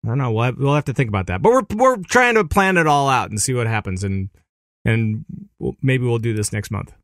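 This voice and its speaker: Man, podcast co-host, rambling casual chat, mid pitch, dry close sound. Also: lively intonation, a little fast, fairly bright tone, no echo. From Minneapolis area, USA. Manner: rambling, laughs easily, many restarts.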